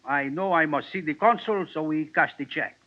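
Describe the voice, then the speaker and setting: Man playing an excitable little Peruvian gent, high-voiced. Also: moderate pace, close to an everyday voice. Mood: pleading, earnest. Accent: Latin American Spanish. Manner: broken English, effusively polite. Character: excitable, ingratiating little Peruvian gentleman in horse deal. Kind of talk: 1940s radio acting. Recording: tinny, band-limited old radio recording.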